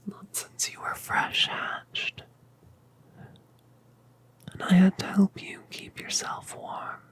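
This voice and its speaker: A woman voicing a colossal, tender mother dragoness in a whispered ASMR roleplay, speaking softly and soothingly. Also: lightly acted. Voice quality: breathy whisper. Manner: soft, slow, soothing.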